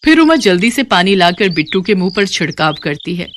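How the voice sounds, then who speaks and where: A woman's voice: lowish voice, sing-song, fairly quick, fairly bright tone, slight background noise. A woman reading scripted narration for a children's moral story.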